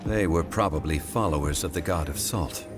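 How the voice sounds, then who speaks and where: An actor voicing a calm, formal ancient male consultant in a video game, speaking in a measured, lecturing manner. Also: a bass voice, over music. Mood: firm. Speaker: expedition consultant.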